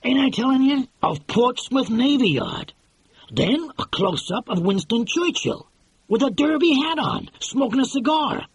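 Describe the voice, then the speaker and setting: A man's voice: extremely high pitch, sing-song, measured pace, gravelly, husky, no echo, pitched above the performer's own voice, exaggerated voice. A man reading an audiobook and voicing a brash, pushy middle-aged movie mogul who talks in slang. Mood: boastful, excited. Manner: slangy, pushy, exclamatory, keeps saying "see". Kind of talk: audiobook character voice.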